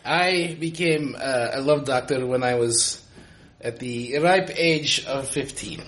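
A man's voice: medium pitch, takes it slowly, a bit bright. A man playing a self-taught, oddly formal male love doctor with stilted, grandiose phrasing and a put-on accent. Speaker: comedy podcast guest character.

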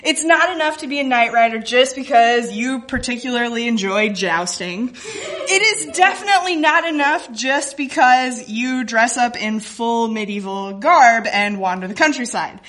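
A woman with a very bright, slightly high voice shares a personal story with a live audience. She speaks as a guest storyteller, with a wry and comic touch to her delivery. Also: expressive, normal speed.

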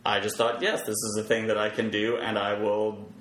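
A man talking casually as a podcast guest, explaining his web development work in measured, halting phrases. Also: fairly low pitch, flat delivery, a bit bright. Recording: roomy echo, faint background noise.

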